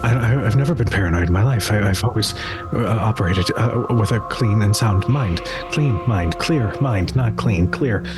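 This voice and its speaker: Man doing a jittery paranoid adventurer, stammering, defensive, fairly low voice, music playing behind. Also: lively intonation, normal speed, natural voice. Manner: stammering, defensive, self-correcting.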